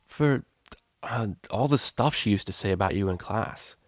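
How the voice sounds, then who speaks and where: A man's voice: lowish voice, lively intonation, no room sound, studio quality, close to an everyday voice. A man voicing a brash, scheming young unicorn mare with a slangy, pushy way of talking in a storybook reading. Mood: pushy, faltering. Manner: slangy, pushy, hesitant pauses.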